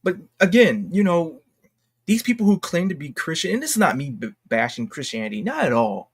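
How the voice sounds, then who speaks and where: An American man giving casual, unscripted online commentary in a halting, repetitive, slangy way. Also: a little high, sing-song, husky. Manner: halting and repetitive, slangy, addressing viewers as y'all. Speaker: online video commentator and host. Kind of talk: casual unscripted commentary, reading posts aloud.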